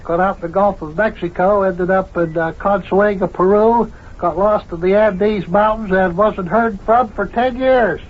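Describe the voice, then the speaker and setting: An actor playing a folksy, rambling tall-tale spinner and joker in an old-time radio comedy. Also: male voice, sits high, steady tone, a little slow. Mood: rambling, amused. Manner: drawling, rambling, folksy ungrammatical speech. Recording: dull, lo-fi old radio recording.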